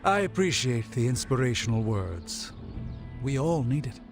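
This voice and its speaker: An actor playing a proud, weary sorcerer in a video game, grave and theatrical. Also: male voice, mid-range voice, big pitch swings, normal speed, music in the background. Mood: weary, worried. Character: weary, bitter male sorcerer, proud and dramatic. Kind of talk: dramatic video game acting.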